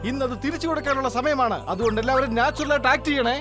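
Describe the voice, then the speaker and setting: An actor voicing a pompous, boastful male cartoon parrot who preaches in grand phrases, in an animated dub. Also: sits high, very fast, natural voice. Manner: preachy, grandiose, with catchphrase questions. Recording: loud cartoon music behind.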